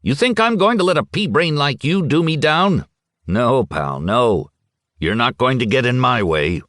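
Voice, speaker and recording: A scornful, hot-headed husband snaps and sneers with open impatience, read by a male audiobook narrator in a voice close to his own. The voice is low but lurches widely in pitch as his temper runs. The recording is dry and close. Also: big pitch swings, fairly bright tone, dry recording, heavily acted. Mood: angry. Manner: impatient, sneering and quick to anger. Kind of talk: audiobook character voice.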